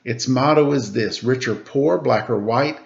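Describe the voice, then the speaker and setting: A man teaching children, reading a rhyming picture book aloud with a steady rhythm, then chatting casually about it. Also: mid-range voice, measured pace, creaky, lo-fi sound. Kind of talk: storybook read-aloud for children.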